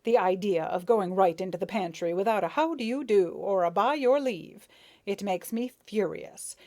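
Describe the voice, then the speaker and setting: A woman's voice: medium pitch, lively intonation, darkish tone. A woman voicing a good-natured but pompous male rose beetle who talks indulgently and flares into anger. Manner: indulgent, self-satisfied, quick to anger.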